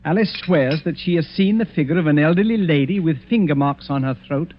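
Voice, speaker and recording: Faint hiss and a dulled vintage radio sound surround a man playing a titled English-country-house sort of host. His voice sits fairly high, urbane and composed. He keeps a dryly courteous, slyly controlled tone. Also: a little fast, close to an everyday voice. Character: cool, courteous titled gentleman host slyly laying a trap. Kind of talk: 1940s radio drama acting. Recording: dull, faintly noisy old radio recording.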